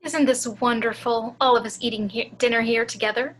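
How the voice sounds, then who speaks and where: Give a horror table read its possessed mother , played by a woman. The voice is fairly high and unfolds slowly and deliberately, soothing yet full of menace. The home recording carries faint noise. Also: fairly even intonation, a bit bright, lightly acted. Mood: eerily tender. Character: sinister motherly woman possessed by a vengeful ghost. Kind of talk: amateur screenplay table read.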